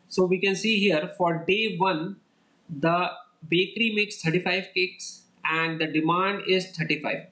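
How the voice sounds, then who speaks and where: A man giving a lecture as a voice-over on slides, explaining each step in a steady, repetitive way. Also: high-pitched, rough recording. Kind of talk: slide-based lecture walkthrough.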